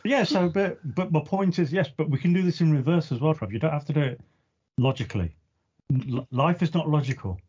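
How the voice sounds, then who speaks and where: A man's voice: on the high side, a little fast, darkish tone, husky, dull audio. A man co-hosting a casual podcast chat, talking in a playful, teasing way. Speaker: podcast co-host.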